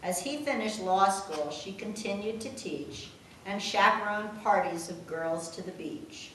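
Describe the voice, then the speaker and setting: A woman's voice: mid-range voice, measured pace, fairly bright tone. An older woman reading a homemade rhyming poem aloud to a family gathering. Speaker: poem reader. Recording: echoey room, distant mic, faint noise.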